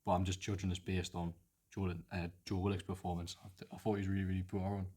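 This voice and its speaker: A man with a Geordie accent co-hosts a football podcast, his voice hoarse and cracking from flu. He speaks very low and in a flat monotone, hesitating and restarting as he pushes through. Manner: halting, hesitant, restarts often. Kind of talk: casual football analysis chat.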